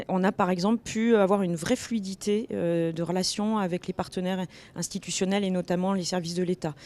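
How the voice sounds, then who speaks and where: A woman's voice: fairly low pitch, darkish tone. A woman who is an elected official, giving explanatory answers in a panel interview, phrasing hesitantly and repeating words. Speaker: elected official, vice-president of a local authority. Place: TV set at a convention.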